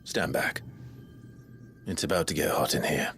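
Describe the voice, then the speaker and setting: Deep, stern rebel leader. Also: male voice, fairly even intonation, unhurried, vocal fry, a bit bright, full character voice. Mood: grim, resolute. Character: grave, resolute male rebel leader. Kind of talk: dramatic game dialogue. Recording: music behind.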